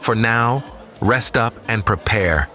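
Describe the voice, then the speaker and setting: A man playing a confident, steady captain leading a crew in a sci-fi audio drama, measured and theatrical. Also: medium pitch, soft background music. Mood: assured, sly.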